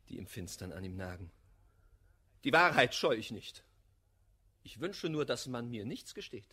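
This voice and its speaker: A blunt, proud gentleman who despises flattery, in a German radio play of classic verse comedy. His voice is markedly rough and breathy, with lively, swooping intonation. He declaims emphatically and scornfully. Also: medium pitch, exaggerated voice. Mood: stern, wounded.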